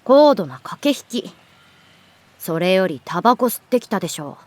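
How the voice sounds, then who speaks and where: A woman playing a lovestruck teenage girl in a Japanese audio drama, teasing yet wistful, speaking in an unhurried way. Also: medium pitch, sing-song, darkish tone, dry recording, close to an everyday voice. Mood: playful, teasing. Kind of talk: Japanese audio drama acting with soft inner monologue.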